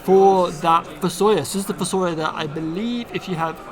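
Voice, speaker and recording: A man's voice: sits high, moderate pace, crowd noise. A man from Bristol doing upbeat casual commentary on a card game stream, reading card text aloud. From Bristol, England.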